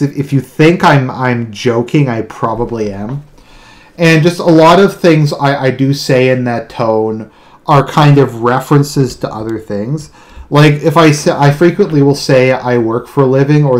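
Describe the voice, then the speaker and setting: A man with a deadpan delivery speaks casually in a solo monologue, often repeating words and restarting his sentences. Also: mid-range voice, normal speed. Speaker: online video commentator. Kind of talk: casual monologue explaining own humour.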